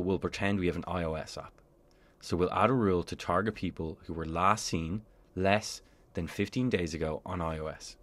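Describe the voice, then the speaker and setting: A man with a low voice narrates a scripted software tutorial. He explains each step in a clear, orderly way, as a presenter on a screencast voice-over would.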